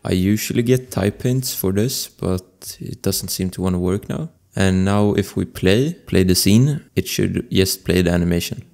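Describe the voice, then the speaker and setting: A man presenting a casual software tutorial as a voice-over, explaining informally step by step into a close microphone. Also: low-pitched, normal speed, creaky, hardly any pauses. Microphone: close desktop microphone voice-over. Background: music.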